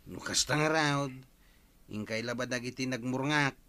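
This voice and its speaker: A man's voice: medium pitch, moderate pace, sharp tone, dry recording. A man with an Ilocano accent playing an aggrieved villager in a comedy drama, firmly demanding what he is owed. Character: aggrieved villager firmly demanding his stolen money back.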